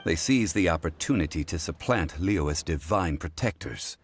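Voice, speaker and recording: A man voicing an ancient, dignified male god in a video game, speaking in a measured, formal and unhurried way. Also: low voice, lively intonation, very noisy. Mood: composed. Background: music.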